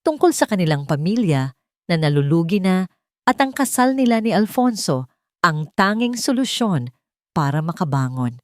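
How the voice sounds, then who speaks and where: A woman's voice: lowish voice, very animated, moderate pace, no echo, clean studio sound. A woman reading a scripted, dramatic love story as its narrator.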